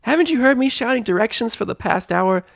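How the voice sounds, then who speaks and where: Man putting on a squeaky, perky little filly. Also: animated, fairly quick, gravelly, a bit bright, exaggerated voice. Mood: cheerfully bossy. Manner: chirpy, squeaky. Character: sweet, perky, naive little unicorn filly.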